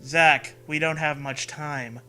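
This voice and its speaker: An earnest young woman ghost read by a man in a comic story reading. The delivery is melodramatic and subdued, with a bright edge and music underneath. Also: fairly high pitch, steady tone, slow. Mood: urgent.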